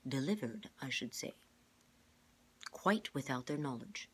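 A woman voicing a grave, patient male scientist in a storybook, explaining in measured, formal words. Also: rumbling low, lively intonation, slightly rough, heavily acted.

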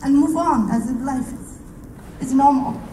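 A woman from Somalia with a Somali accent, giving a public speech at a rally in short, measured phrases. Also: sits high, mellow. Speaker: rally speaker. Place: outdoor rally, recorded from within the crowd. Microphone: podium microphone heard from far camera. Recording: distant, echoey outdoor PA with noise.

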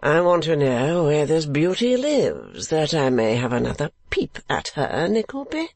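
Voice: medium pitch, huge pitch swings, a little slow, gravelly, no room sound, exaggerated voice. A narrator voicing a foolish, eager young English lord in a storybook, with an affected, wheedling drawl. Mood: foppish, musing. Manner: drawling, affected, hushed and pleading.

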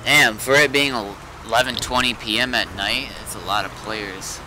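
A man's voice: medium pitch, steady tone, moderate pace, bright, forceful tone, a little hiss or hum, poor audio. A man chatting casually with friends during an online roleplay game. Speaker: roleplay gamer.

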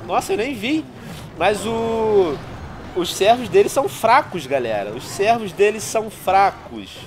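A man's voice: high voice, a little slow, a little hiss or hum. A man in his thirties with a Brazilian Portuguese accent, stuffy from a cold, chatting to viewers in casual game commentary over a headset at home. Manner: chatty, addresses viewers constantly, excitable outbursts. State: congested with a cold. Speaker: gaming YouTuber. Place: small room at home, indoors.

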